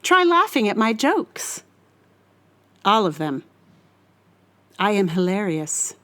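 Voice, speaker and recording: A woman performing a scripted dramatic monologue in a deliberate, theatrical and menacing manner. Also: mid-range voice, very animated, fairly bright tone. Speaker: writer reading own monologue.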